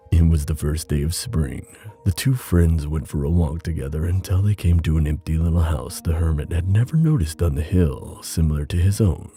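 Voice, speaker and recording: Male ASMR boyfriend storyteller: very low, gravelly, unhurried, intimate and soothing, soft music underneath. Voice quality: deep. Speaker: ASMR boyfriend roleplay performer.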